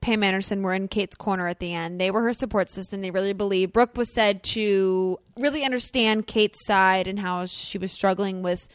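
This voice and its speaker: A woman's voice: mid-range voice, moderate pace, few pauses, smooth, no echo, studio quality. A woman co-hosting a podcast, chatting and gossiping casually about celebrity news. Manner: chatty, gossipy, animated asides. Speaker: podcast co-host. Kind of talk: casual chat.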